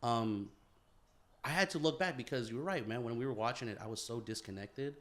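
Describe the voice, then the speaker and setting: A man's voice: mid-range voice, fairly quick, fairly bright tone. A young man co-hosting a podcast in casual chat, rambling and swearing, often laughing and repeating himself. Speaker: podcast co-host.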